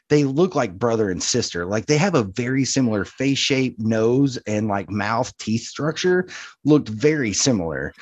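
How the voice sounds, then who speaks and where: A man's voice: lowish voice, breathy edge, dry recording. A man co-hosting a podcast, chatting casually about media. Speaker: podcast co-host.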